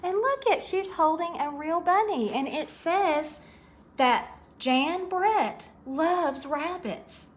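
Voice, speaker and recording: A woman's voice: very high-pitched, slow pace. A woman reading a picture book aloud to young children, expressively, with chatty asides and questions to her listeners.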